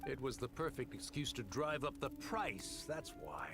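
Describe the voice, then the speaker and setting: An actor playing a greedy, ruthless desert arms dealer in a video game, with a persuasive, cocky, slangy way of talking. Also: male voice, medium pitch, very animated, normal speed. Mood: cold. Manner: persuasive, cocky, casual slangy drawl of a salesman. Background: game music.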